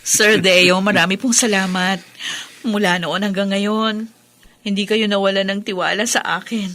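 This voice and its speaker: A woman's voice: medium pitch, flat delivery, moderate pace, fairly bright tone, natural voice. An actress playing a stoic, dutiful widowed working mother in a radio drama, matter-of-fact and polite, with a Filipino accent. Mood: grateful.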